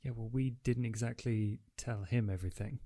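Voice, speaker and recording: A man's voice: medium pitch, normal speed, warm tone. A man playing a tabletop role-playing game, improvising casually in and around his character.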